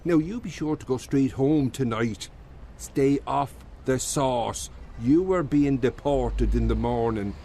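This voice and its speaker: A man's voice: mid-range voice, takes it slowly, creaky, mellow, slight background noise. A man playing an earnest, fatherly mentor in a radio drama, giving stern but kind advice.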